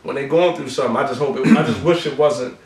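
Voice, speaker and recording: A man co-hosting a casual podcast chat, speaking in a reflective, halting way. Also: medium pitch, a little mellow. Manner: laid-back, reflective, halting. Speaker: podcast co-host. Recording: roomy sound, mic at a distance.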